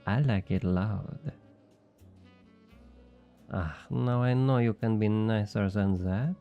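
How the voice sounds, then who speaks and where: An actor playing a smug, flirty thief with a French accent, teasing and coaxing in an intimate ASMR roleplay. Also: male voice, lowish voice, takes it slowly, dull audio, soft background music. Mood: flirtatious taunting. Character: obnoxiously flirty, smug male thief and information broker.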